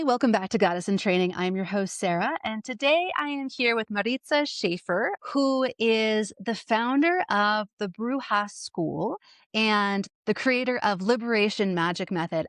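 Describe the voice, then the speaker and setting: A woman's voice: mid-range voice, no room sound. Woman, podcast host, enthusiastic interviewer, dry close sound. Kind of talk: scripted intro then casual interview.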